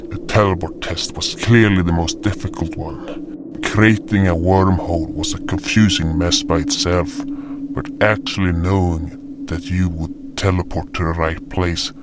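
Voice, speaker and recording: A man playing a gentle, proud, hulking beast guardian who tells his own story deliberately in a fantasy audio drama. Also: deep voice. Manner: slow, deliberate storytelling with hesitations. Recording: noticeable background noise.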